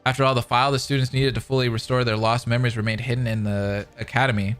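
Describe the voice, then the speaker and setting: A man's voice: medium pitch, level tone, fast talker, a bit bright. A man doing casual, slangy, enthusiastic live commentary, reading narration aloud and reacting as a streamer. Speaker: streamer reacting to and reading a fan-dubbed visual novel. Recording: music behind the voice. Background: music.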